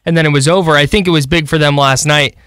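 A man's voice: fairly high pitch, steady tone, fast talker, fairly bright tone, clear, resonant tone, dry recording. A man co-hosting a casual sports radio interview, speaking into a close microphone in a small studio.

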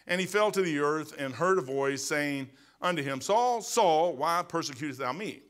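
Middle-aged male pastor, high bright voice, emphatic and conversational, church sanctuary. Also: animated, normal speed. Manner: emphatic, conversational preaching with repetitions and restarts. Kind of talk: sermon. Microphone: pulpit microphone, far camera.

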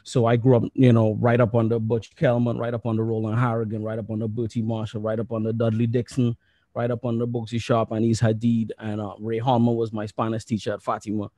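A man in his thirties from Trinidad, speaking on a video call with earphones, earnest and halting with repeated words. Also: mid-range voice, completely flat delivery, dark tone, no echo. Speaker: musician and associate professor. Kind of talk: panel talk.

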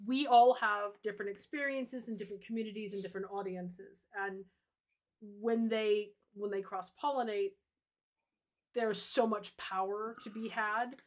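A woman's voice: mid-range voice, fairly even intonation, unhurried. A woman hosting a casual livestream conversation, talking informally and reflectively with emphasis on key words. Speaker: livestream host. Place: indoor room on a video call, beside a co-host.

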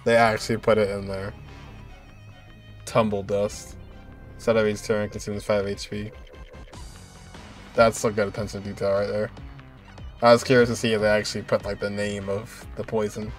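A man's voice: lowish voice, little pitch movement, normal speed, pauses often. A man in his twenties giving casual, slangy reaction commentary on a video game, in a laid-back way. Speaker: gaming content creator. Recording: game music behind the voice.

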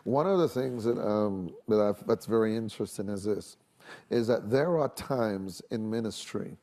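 A man's voice: fairly low pitch, normal speed, mellow. Emphatic middle-aged male minister explaining. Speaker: minister and panel guest. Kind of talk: preaching-style panel talk on a religious TV show. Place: large TV studio set with audience.

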